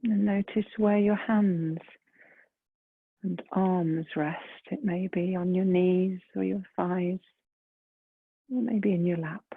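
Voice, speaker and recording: A woman leading a guided meditation in a gentle, soft, breathy voice, unhurried, with long pauses between phrases. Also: fairly low pitch, steady tone, slight background noise. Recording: muffled phone-like lo-fi sound.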